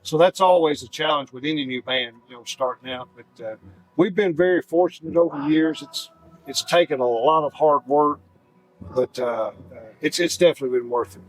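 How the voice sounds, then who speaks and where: A man's voice: on the high side, brisk, faint music behind, poor audio. A man who leads a band and sings lead, answering interview questions in an easygoing way full of "you know". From northern Alabama. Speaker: band leader and lead singer.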